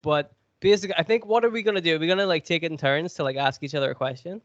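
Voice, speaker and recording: A man's voice: on the high side, racing, fairly bright tone, no room sound, highs cut off. A man with a cold, sniffly, chatting and joking as he hosts a podcast quiz. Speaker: podcast co-host. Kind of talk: casual podcast chat.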